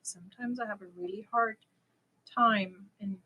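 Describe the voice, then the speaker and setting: A woman's voice: mid-range voice, normal speed, breathy edge, muffled recording. A woman from Germany, chatting casually and a little nervously as she hosts a live stream, prone to giggling. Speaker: crochet live stream host.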